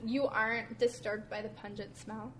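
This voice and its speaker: A woman co-hosting a podcast, asking quick questions in a brisk, casual way. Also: a little high, flat delivery, slight background noise. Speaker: podcast co-host.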